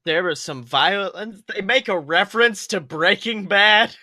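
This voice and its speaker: Young male podcast co-host: very bright, forceful high voice, profane comedic riffing, casual delivery. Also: a little slow, no room sound, clean studio sound.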